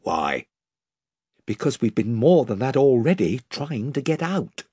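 A man's voice: medium pitch, big pitch swings, unhurried, husky, no room sound, studio quality. A man voicing a young male king commanding his ship's crew. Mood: hushed. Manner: urgent commands, then hushed.

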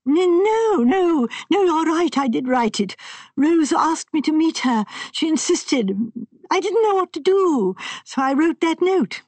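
A woman's voice: expressive, a little slow, darkish tone, dry recording. Woman voicing a frantic stammering young man. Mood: distraught. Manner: stammering, pleading, voice rising high. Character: frantic young male architect, highly strung. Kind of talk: audiobook character reading.

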